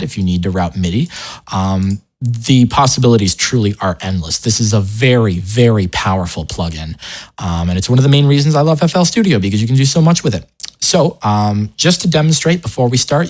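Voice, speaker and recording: Man, lowish voice, tutorial host, casual and informal, wry asides, self-interrupting. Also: a little mellow.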